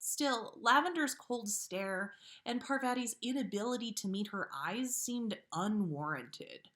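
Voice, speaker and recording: A woman hosting a story livestream, speaking in an informal, expressive way as she chats and reads fiction aloud. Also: fairly high pitch, unhurried. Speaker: podcast host reading listener stories. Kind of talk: casual livestream chat, then storybook read-aloud.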